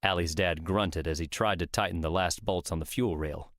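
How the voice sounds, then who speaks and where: A man's voice: low voice, normal speed, a bit bright, dry recording, clean studio sound. A man reading scripted story narration for an audiobook.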